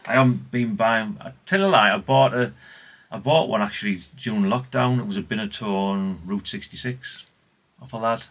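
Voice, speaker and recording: A man's voice: fairly low pitch, moderate pace, hardly any pauses, a bit bright. A man, chatting casually and ramblingly as he presents old radio gear in a vlog. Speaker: vlogger showing vintage CB radios. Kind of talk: casual, unscripted chat.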